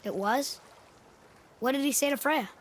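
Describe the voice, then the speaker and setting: A man's voice: extremely high pitch, a little slow, slight background noise. A young male actor playing a curious, eager boy adventurer in a video game.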